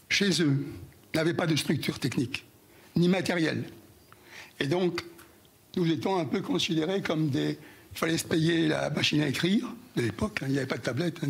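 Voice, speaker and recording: Older guy, hoarse, gravelly raspy voice, halting speech to a crowd, kinda high pitched. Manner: halting, repeats words, addresses the audience directly. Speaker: former union president giving an anniversary speech. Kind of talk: speech to an audience.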